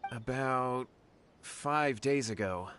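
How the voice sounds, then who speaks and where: A man's voice: medium pitch, takes it slowly, a bit bright, slight background noise. A man playing an earnest, anxious young martial artist in a video game, hesitant and respectful. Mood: worried. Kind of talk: video game character dialogue. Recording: music behind the voice.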